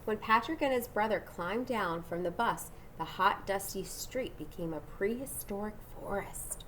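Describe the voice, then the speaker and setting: A woman's voice: mid-range voice, unhurried, fairly bright tone, slight background noise, low-quality recording. A woman reading a children's storybook aloud dramatically, with asides and questions to her young listeners. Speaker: storybook reader.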